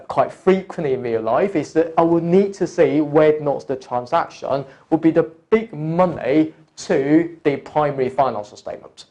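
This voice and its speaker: A man working as an online course tutor, explaining step by step in a deliberate, instructional lecture style and addressing the learner directly. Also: on the high side, mellow. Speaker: accounting exam course tutor. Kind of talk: explanatory lecture, talking through examples.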